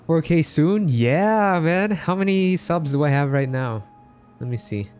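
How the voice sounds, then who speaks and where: A man's voice: a little high, expressive, unhurried, darkish tone, clear, resonant tone. A man from the Philippines livestreaming a game, chatting casually with his viewers. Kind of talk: casual chat with live viewers while gaming. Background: game music.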